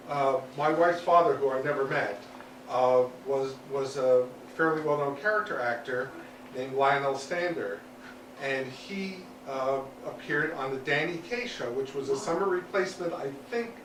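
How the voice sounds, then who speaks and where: Man, audience member, casual hesitant recollection, echoey room, clear background noise. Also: mid-range voice, a little slow, fairly bright tone. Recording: noisy, echoey room, distant mic.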